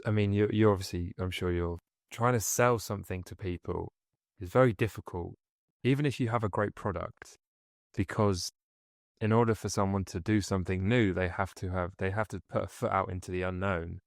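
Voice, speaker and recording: Deep smooth-voiced podcast host man. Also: no room sound, clean studio sound. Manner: enthusiastic, affirming, chatty. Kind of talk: casual interview.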